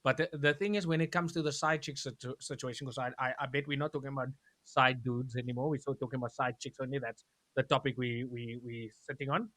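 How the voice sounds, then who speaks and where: A man hosting a live talk show, who speaks loudly and chattily with many restarts. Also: mid-range voice, steady tone, quick pace. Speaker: host. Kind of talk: casual discussion on a live show.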